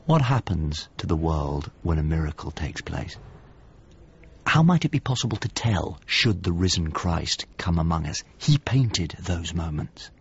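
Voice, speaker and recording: A haunted, melancholy painter in a radio play. His voice is low and he speaks reflectively, as if confessing, with music underneath. The sound is slightly dull. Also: expressive, a little slow, slightly rough, heavily acted. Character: Mario, melancholy haunted painter, a grown man. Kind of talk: naturalistic radio drama acting and monologue.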